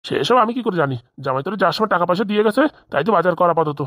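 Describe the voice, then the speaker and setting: A grumpy older cartoon husband, voiced by a woman, bickers at a very rapid pace in a lowish pitch. He is defensive, gruff and dismissive. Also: lively intonation, no room sound, studio quality, lightly acted. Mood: irritated, defensive. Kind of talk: comedy cartoon dialogue.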